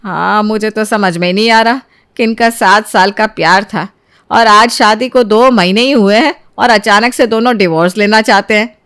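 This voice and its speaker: A woman's voice: mid-range voice, normal speed, a bit bright, clean tone, lightly acted. A woman voicing an anxious, bewildered middle-aged mother-in-law in a story, polite and fretful. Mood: worried.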